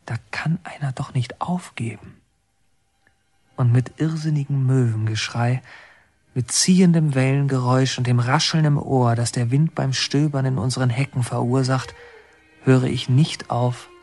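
An actor playing a brooding, obsessive young man in a reformatory, speaking hesitantly and pensively with a breathy, husky voice in a radio drama. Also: medium pitch, fairly even intonation, normal speed, darkish tone, no room sound, lightly acted. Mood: brooding, introspective. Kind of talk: introspective German radio drama acting.

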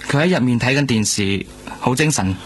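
A man plays a calm hospital doctor in a Cantonese radio drama. He speaks reassuringly with a Hong Kong accent, with faint noise in the background. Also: medium pitch, fairly quick, natural voice. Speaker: actor.

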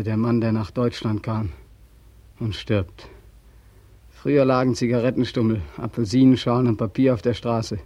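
An actor playing a tormented, despairing soldier home from war in a 1940s radio drama, anguished and halting. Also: male voice, medium pitch, no room sound, close to an everyday voice. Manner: anguished, halting, swinging from hush to outcry. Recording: old 1940s radio recording.

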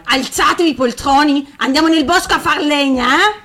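A woman's voice: high voice, moderate pace, bright, forceful tone. A woman playing a scornful bossy stepmother. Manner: scolding, scornful. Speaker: actress. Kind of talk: radio fairy-tale reading.

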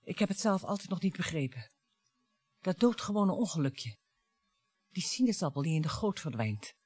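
An actress in a Dutch radio play playing a bitter, grieving mother who confronts her husband in a dramatic, halting, taunting way. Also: lowish voice, big pitch swings, darkish tone, husky, dry recording, soft background music. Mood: bitter confessing.

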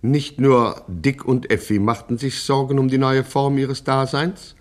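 A man's voice: mid-range voice, steady tone, unhurried, darkish tone, faint music behind, close to an everyday voice. A man narrating a satirical radio crime comedy from a script.

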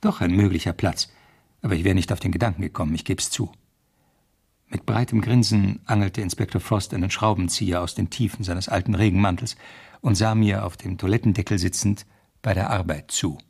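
Husky, low-voiced detective narrator. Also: male voice, fairly even intonation, a little fast, a little mellow, full character voice. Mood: sober, measured. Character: young police detective narrating his case, sober, precise. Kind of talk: first-person scripted radio drama narration.

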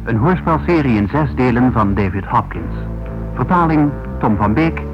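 A man's voice: mid-range voice, expressive, normal speed, over music, lightly acted. A man announcing the titles of a radio drama serial in a scripted style. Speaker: series announcer. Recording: dull old radio recording.

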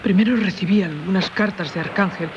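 Actress playing a tormented Castilian wife, dull radio sound. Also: female voice, medium pitch, a little fast, natural voice. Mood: haunted, narrating. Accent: Castilian Spanish. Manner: solemn, confessional, theatrical delivery. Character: tormented married woman haunted by a former lover. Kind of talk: 1970s radio theatre acting. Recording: dull, noisy 1970s radio recording.

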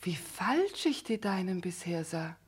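An actress playing an elegant, inquisitive wife in a 1960s German radio play, with a theatrical, airy manner. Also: female voice, fairly low pitch, big pitch swings, a little slow, slightly rough, heavily acted. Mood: admiring. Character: elegant wife humouring her pompous husband, inquisitive, dramatic.

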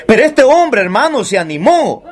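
A man's voice: extremely high pitch, sing-song, moderate pace. A man preaching an evangelical sermon, exhorting his listeners directly and putting rhetorical questions to them.

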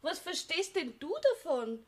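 A woman's voice: sits high, animated, measured pace. An actress playing an irritable wife and mother in a radio play, emphatic. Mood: scornful. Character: irritable, scolding wife and mother hiding annoyance. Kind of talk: German radio play acting.

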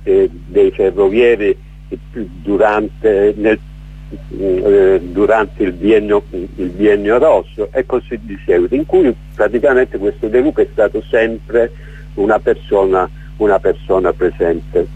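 A man's voice: fairly low pitch, steady tone, measured pace, dark tone, husky. A middle-aged man from Calabria, an author speaking as a radio interview guest, hesitant with many fillers and restarts. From Calabria, southern Italy. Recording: muffled lo-fi radio broadcast.

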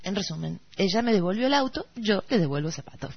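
Woman as male heartthrob singer, Argentine, radio play, vain, courteous, bumbling, medium pitch, dull sound. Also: lively intonation, quick pace, gravelly, slightly rough. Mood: self-important. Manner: courteous, hesitant, self-important. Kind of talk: amateur radio play acting.

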